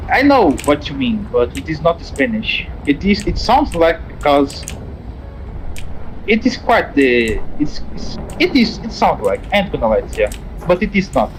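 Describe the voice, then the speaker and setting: A man from Brazil with a Brazilian Portuguese accent, a Portuguese native speaker explaining earnestly and haltingly as a moderator over a voice call. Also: on the high side, slight background noise, poor audio.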